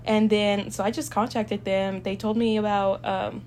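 A woman's voice: mid-range voice, little pitch movement, normal speed, a little mellow, a little hiss or hum. A young woman from El Salvador, a university student, chatting casually with lots of fillers and easy laughter. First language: Spanish. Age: young adult. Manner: chatty, hesitant with many fillers, laughs easily. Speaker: student guest. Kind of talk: casual chat.

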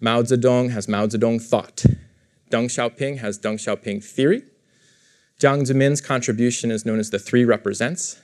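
A man's voice: mid-range voice, steady tone, normal speed, darkish tone. A male professor giving an explanatory lecture to a live audience in a room, talking it through with fillers and self-corrections. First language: English.

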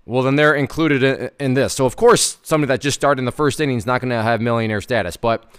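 A man's voice: mid-range voice, quick pace, a bit bright, clean tone. A man in his thirties giving informal, conversational commentary as a host, stressing points emphatically and repeating words. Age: 30s. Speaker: host commenting on personal finance. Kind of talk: informal commentary on an article, partly read aloud.

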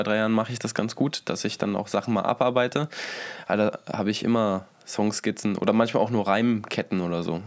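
Berlin musician in his thirties, slightly low voice, loose slangy chat. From Berlin, Germany. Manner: relaxed, slangy, rambling. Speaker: musician and producer. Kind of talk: casual interview chat.

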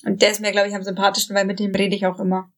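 A woman's voice: mid-range voice, monotone, very fast. A young woman chatting casually as a podcast co-host, giggly and fond of telling anecdotes. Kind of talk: casual chat.

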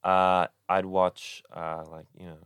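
A young man speaks as a podcast guest, easygoing and unhurried in a casual chat. His voice sits very low, and the recording is dry and close, with no room sound. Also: level tone, a bit bright, clear, resonant tone, no echo. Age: young adult.

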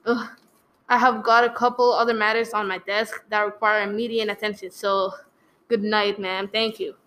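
A woman's voice: medium pitch, level tone, normal speed, fairly bright tone, close to an everyday voice. A woman playing a matter-of-fact, patronizing police desk officer in a radio play, brusque and dismissive with the caller.

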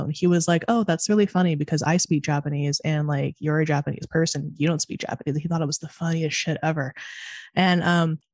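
A woman in her twenties with a Californian accent, talking fast and chatty in casual conversation with lots of fillers. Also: low voice, a little mellow, no room sound, studio quality.

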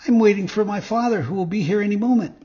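A man voicing a naive, eager young wooden puppet boy in a storybook reading, earnest and excitable. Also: high voice, fast, dull audio, pitched above the performer's own voice, close to an everyday voice. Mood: trusting, naive.

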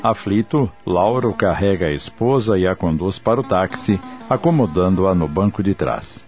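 A man's voice: sits low, animated, moderate pace, a little mellow, soft background music. A man with a Brazilian accent delivering scripted narration as the narrator of a radio drama.

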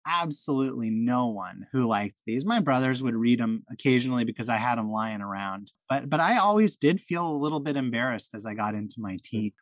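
Male podcast host, mid-pitched, chatty and enthusiastic, dry close sound. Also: lively intonation, fairly quick, few pauses, no echo. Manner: friendly, enthusiastic, with frequent restarts. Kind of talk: casual podcast chat.

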